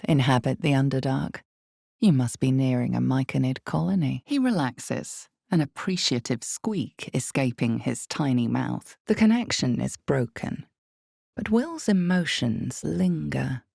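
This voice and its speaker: An ominous female storyteller narrates a dark fantasy game in an extremely low voice. Her delivery is slow and deliberate, lingering dramatically on phrases. The sound is dry and close, with no room around it. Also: vocal fry, no echo, exaggerated voice. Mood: measured, dramatic.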